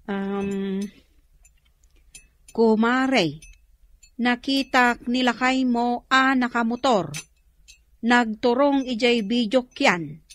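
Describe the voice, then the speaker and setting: Village wife, higher-pitched, Ilocano accent, bossy, dismissive, bickering, radio comedy drama. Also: female voice, drawn-out words, pauses often. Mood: gossipy, scheming. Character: bossy, chatty village wife glued to her phone.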